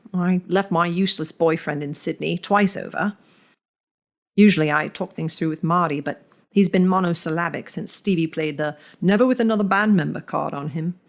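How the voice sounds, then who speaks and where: A woman reads a first-person story aloud. Her voice sits somewhat low, and her delivery is deliberate and measured, with lingering pauses between phrases. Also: gravelly. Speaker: narrator.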